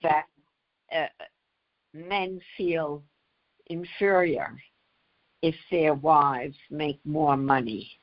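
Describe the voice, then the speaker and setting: An older woman speaks very slowly in a conversational interview. Her voice sits a little low, and she often halts mid-phrase before carrying on. The audio is slightly dull and lo-fi. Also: steady tone. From United States. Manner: halting, pausing mid-phrase. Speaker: author, interview guest.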